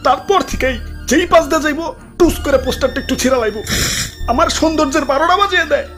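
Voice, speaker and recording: A man's voice: extremely high pitch, very fast, a bit bright. A man voicing a self-pitying, indignant city wall in a comic cartoon, whining in Bangladeshi dialect over music. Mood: aggrieved. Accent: Bangladeshi, Dhaka-area dialect. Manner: whining, aggrieved.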